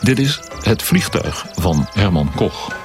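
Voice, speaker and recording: A man's voice: deep voice, very animated, slow, over music, full character voice. An announcer, a man, giving a formal scripted introduction to a radio play. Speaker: programme announcer.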